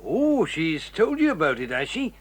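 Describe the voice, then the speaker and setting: A man's voice: a little high, wildly swooping pitch, normal speed. An actor playing a friendly, plain-spoken circus man with an easy-going, folksy manner in a children's drama. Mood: genial. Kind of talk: 1980s children's audio drama acting.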